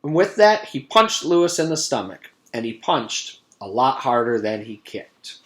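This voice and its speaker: A man's voice: medium pitch, big pitch swings, measured pace, a bit bright. A man who reads a children's storybook aloud as a teacher would to a young class. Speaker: teacher reading aloud to a third grade class. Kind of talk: animated children's storybook narration.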